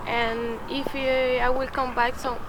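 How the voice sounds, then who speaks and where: A non-native English-speaking woman with a high voice talks slowly and haltingly in simple phrases. It is a casual outdoor interview with clear city noise behind her. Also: little pitch movement. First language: not English. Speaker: interviewee in a documentary.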